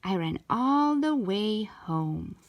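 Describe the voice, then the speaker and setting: Expressive woman reading a children's story. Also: mid-range voice, slow. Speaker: storytime reader. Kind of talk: children's read-aloud narration.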